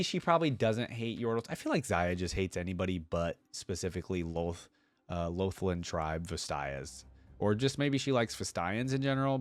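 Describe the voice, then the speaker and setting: A man's voice: fairly low pitch, no echo. A man hosting a reaction video, reading lore aloud in a casual way with chatty, musing asides. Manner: chatty, musing asides between read passages.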